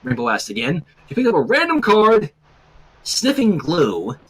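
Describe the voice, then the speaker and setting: High-pitched, very animated male reader. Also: unhurried, low-quality recording. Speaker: host reading fan fiction aloud. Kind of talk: animated story reading with commentary.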